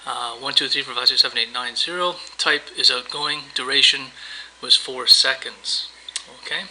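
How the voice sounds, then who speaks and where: Man, software tutorial presenter, sharp bright tone, casual step-by-step explaining, screencast narration, faint background noise. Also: mid-range voice, fairly even intonation, moderate pace, poor audio. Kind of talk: screencast demo narration, semi-improvised walkthrough.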